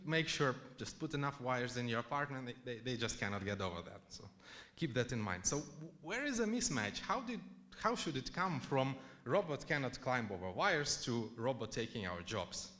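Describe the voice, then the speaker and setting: Ukrainian-born guy giving a tech talk at a conference, chatty, lots of uhs and restarts, average pitch. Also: lively intonation, normal speed, a bit bright. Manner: chatty, many fillers and restarts. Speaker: conference speaker. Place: conference hall.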